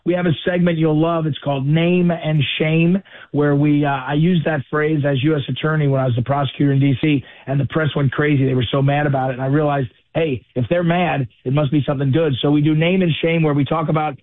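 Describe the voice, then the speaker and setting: A man with a voice going hoarse, a radio guest talking casually, repeating and correcting himself. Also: fairly high pitch, steady tone, fairly quick, dry recording. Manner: chatty, self-correcting, repeats words. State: losing his voice. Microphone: phone or call-in line. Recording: tinny phone line.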